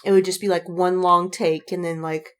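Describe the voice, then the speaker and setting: A woman's voice: lowish voice, little pitch movement, moderate pace. A woman in casual podcast chat about fandom, speaking emphatically with slang and swearing and repeating words for effect. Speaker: podcast co-host.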